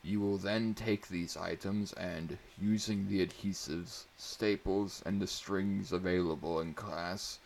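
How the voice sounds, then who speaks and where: A man's voice: sits low, steady tone, takes it slowly, vocal fry, few pauses, darkish tone, slight background noise, full character voice. A man voicing an irritated, sardonic woman schoolteacher with an emphatic, theatrical delivery in a dramatic story reading. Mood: instructing.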